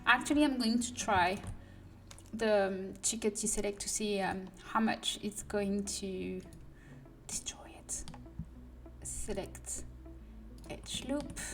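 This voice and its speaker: French-accented woman muttering softly, far off. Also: medium pitch, fairly even intonation, slow pace. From France. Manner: muttering to herself, often dropping to a whisper. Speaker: livestreamer. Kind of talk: casual livestream while working. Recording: distant mic with music behind.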